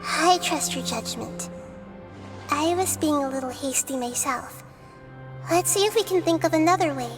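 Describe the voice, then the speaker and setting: Gentle thoughtful girl goddess, high sweet voice, soft and reassuring. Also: lively intonation, moderate pace. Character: young gentle female goddess, calm, thoughtful. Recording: game music behind.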